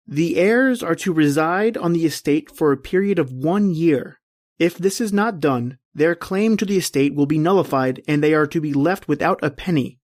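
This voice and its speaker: A male actor playing a formal, dutiful estate executor in an old-time radio drama, with deliberate wording. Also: fairly high pitch, dry recording, studio quality, natural voice.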